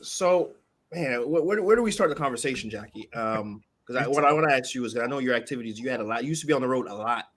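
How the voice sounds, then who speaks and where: A man's voice: mid-range voice, lively intonation, fast talker, a bit bright. A man in his thirties hosting a live-stream interview, asking rambling questions with many restarts. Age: 30s. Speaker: host.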